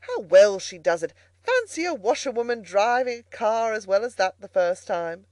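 A woman voicing a kindly, genteel gentleman motorist in a storybook, solicitous, courteous and emphatic. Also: fairly low pitch, very animated, a little slow, dry recording. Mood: hearty approval.

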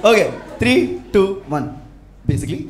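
A young man with a Tamil Indian English accent doing crowd-work stand-up, teasing audience members and switching quickly into Tamil. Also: high voice, sing-song, heavy background noise. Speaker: stand-up comedian. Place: theatre stage in front of a live audience. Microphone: handheld stage microphone. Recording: loud live crowd laughter.

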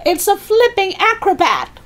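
A high, shrill old grandmother from a children's storybook. She is spiteful and boastful, scolding at the top of her voice. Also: a little slow. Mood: excited. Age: older. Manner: scolding, shouting.

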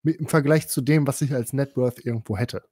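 A man chatting casually on a podcast, excitable and crude, swearing and mixing in English slang. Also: mid-range voice, a little fast, no echo, clean studio sound. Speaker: podcast host. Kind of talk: casual chat.

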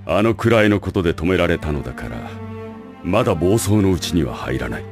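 Deep smooth-scheming anime principal, formal and measured, music behind him. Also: male voice, expressive. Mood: calm, calculating. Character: calm, calculating male school director with secret plans. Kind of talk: anime audio drama acting.

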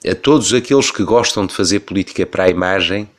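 A man's voice: deep voice, fast. A man in his thirties with a European Portuguese accent, giving an emphatic, rhetorical opinion commentary on the radio.

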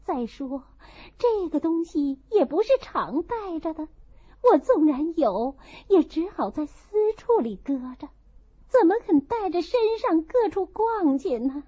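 A woman's voice: very high voice, very animated, measured pace, warm tone, lo-fi sound, pitched above the performer's own voice, exaggerated voice. A woman voicing a young married noblewoman in a storybook, anxious and defensive, pleading earnestly through tears.

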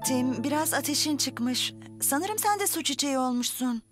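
Gentle, encouraging mum in her thirties for a dubbed kids' show, high voice, music underneath. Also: lively intonation, moderate pace. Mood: caring. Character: gentle, encouraging mother of young twins. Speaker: actor in a dubbed children's show. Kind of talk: dubbed children's TV drama.

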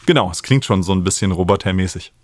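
A man, explaining music software as an instructor in a tutorial. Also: low-pitched, fast talker, dry recording, studio quality. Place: small home studio, indoors. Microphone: studio microphone up close.

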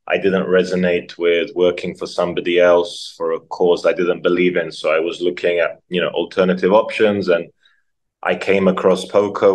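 A man talks as a podcast guest. His voice sits low and stays fairly flat. He speaks reflectively and often stops to repeat words. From Croatia, raised in London. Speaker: guest, nonprofit founder. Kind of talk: casual interview talk.

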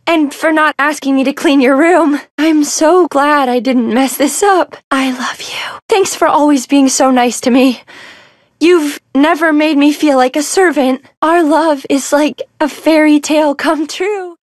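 High-voiced, sweet maidservant. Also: female voice, unhurried, no room sound, studio quality. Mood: tender. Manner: gentle, affectionate. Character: sweet, grateful young maidservant in love. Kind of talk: video game voice acting.

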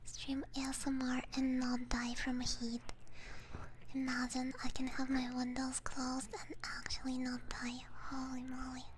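A woman streaming ASMR, chatting to her viewers in a soft, gentle, unhurried whisper, close to a binaural microphone. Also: high voice, completely flat delivery, background noise.

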